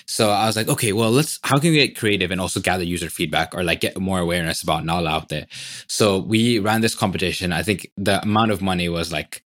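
A man in his thirties from Tanzania, a startup founder talking quickly and eagerly in an interview, full of "like" and "you know". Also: low-pitched, a bit bright, no echo, clean studio sound. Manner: fast, filler-heavy, enthusiastic. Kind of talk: podcast interview.

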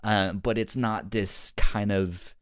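Low-voiced man, halting podcast co-host. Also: steady tone, clean tone, no room sound, clean studio sound. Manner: stammers, repeating words in halting starts. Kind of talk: casual review discussion.

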